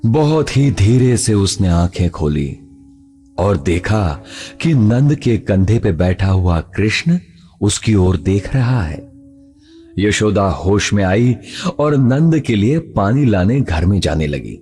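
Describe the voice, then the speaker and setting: A man narrating a scripted children's story in an expressive, dramatic way. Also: on the low side, takes it slowly. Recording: music behind the voice.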